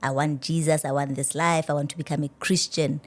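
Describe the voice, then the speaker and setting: A woman hosting a conversational interview, animated and repeating words for emphasis. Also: deep voice, a little fast. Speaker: talk show host.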